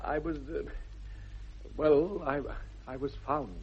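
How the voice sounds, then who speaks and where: An actor playing an earnest, flustered young gentleman suitor with an upper-class English accent, polite and formal, in a 1960s British radio comedy. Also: on the high side, steady tone, slow pace, a little hiss or hum. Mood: polite, awkward. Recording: dull vintage radio recording.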